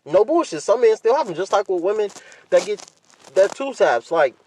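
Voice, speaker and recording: A man's voice: high voice, sing-song, normal speed, noisy background. A man hosting a podcast, talking casually and candidly in blunt, profane slang and repeating himself for emphasis. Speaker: podcast host.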